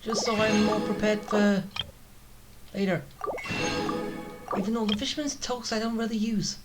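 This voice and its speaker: A woman's voice: mid-range voice, fairly even intonation, normal speed. A woman giving informal, rambling let's-play commentary as she plays a video game. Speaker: gaming streamer. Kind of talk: casual let's-play commentary, reacting to and reading game dialogue. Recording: game music behind the commentary.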